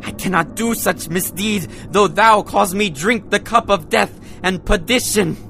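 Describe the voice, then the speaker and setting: A man's voice: high-pitched, unhurried, vocal fry, a bit bright, exaggerated voice. A man playing an earnest, courtly young prince in an audio drama, telling his tale in archaic prose and verse. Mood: pleading. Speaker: narrator. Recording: music under narration.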